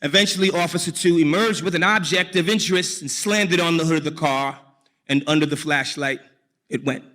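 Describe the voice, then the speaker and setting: A man from New York telling a personal story on stage, deliberately and wryly, with dramatic pauses. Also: a little high, bright, forceful tone. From New York, United States.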